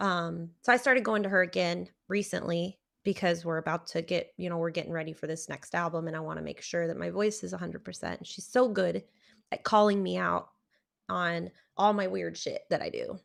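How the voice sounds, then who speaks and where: A woman's voice: fairly low pitch, steady tone, moderate pace, creaky. A woman in her thirties, a rock singer, chatting casually and rambling with lots of fillers on a livestream. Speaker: rock singer and stream co-host.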